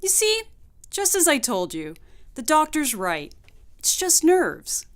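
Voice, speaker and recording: Woman playing a high, lilting, placating housewife. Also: huge pitch swings, takes it slowly, heavily acted. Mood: reassuring. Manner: placating, reassuring, gently dismissive. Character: soothing, doting housewife, fussy over her little dog. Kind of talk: mid-century radio play acting.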